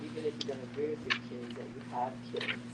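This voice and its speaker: A woman's voice: rumbling low, fairly even intonation, fast. A mature woman thinking aloud hesitantly as she takes part in a casual, thoughtful discussion. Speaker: discussion participant. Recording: very noisy room, distant mic.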